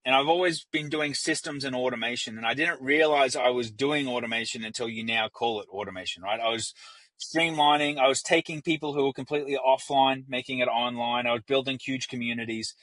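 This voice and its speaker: A man's voice: mid-range voice, a bit bright, no echo, poor audio. An Australian man with an Australian accent, a business founder speaking as a guest in a casual podcast interview, talking with energy and acting out the voices he quotes.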